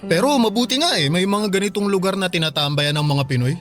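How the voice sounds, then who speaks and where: A man's voice: high-pitched, fast talker, music in the background, close to an everyday voice. A man playing a friendly, chatty young Filipino worker in a radio drama, with a Filipino accent. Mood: sociable. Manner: casual, sociable.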